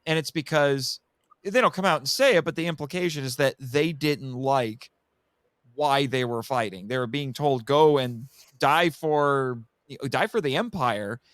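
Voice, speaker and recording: A man's voice: mid-range voice, moderate pace, fairly bright tone, dry recording. A man in a casual film discussion, speaking emphatically and with strong opinions, often repeating and rephrasing his points. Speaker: podcast guest, film commentator.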